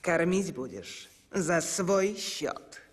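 A woman playing a stern, cold mistress of the house in an animated film, curt and imperious. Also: fairly low pitch, extremely slow, husky, heavily acted. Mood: cold, commanding.

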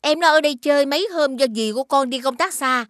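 A woman voicing a firm, caring mother in an animated series, instructive and gently scolding. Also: sits high, fast talker, no echo, clean studio sound, natural voice. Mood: firm, instructing.

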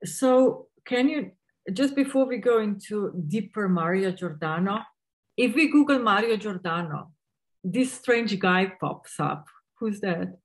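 A woman in her forties moderates an online talk from a room at home over her webcam. She speaks slowly and deliberately, at a middle pitch. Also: expressive, rough recording. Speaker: host moderator. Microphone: video call webcam.

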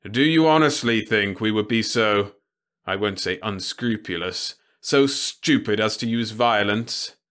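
A man's voice: lowish voice, measured pace, fairly bright tone. A man voicing a tired, contemptuous middle-aged male lab director who speaks curtly and coldly. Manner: curt, cold.

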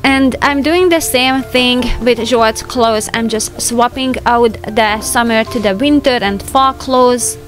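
Woman, middle-aged, fairly high voice, calm explaining tone, homemaking voice-over, soft music underneath. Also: slow pace, few pauses. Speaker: homemaking vlogger. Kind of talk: voice-over narration of home chores.

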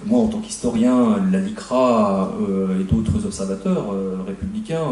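A middle-aged man, a historian, speaking on a debate panel in a halting way, with many fillers and restarts. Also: lowish voice, warm tone. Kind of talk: spontaneous panel talk. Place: conference room, seated at a panel table. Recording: echoey room, mic far off.

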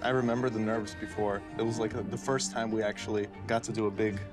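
A man's voice: fairly low pitch, flat delivery, quick pace. A man in his twenties, a contestant, talking earnestly to camera about his past on a show. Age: 20s. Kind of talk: interview to camera. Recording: music playing behind.

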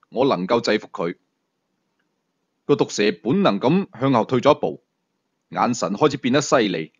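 A man's voice: a little high, dull audio. A man with a Hong Kong Cantonese accent narrating a thriller story dramatically as an audiobook storyteller.

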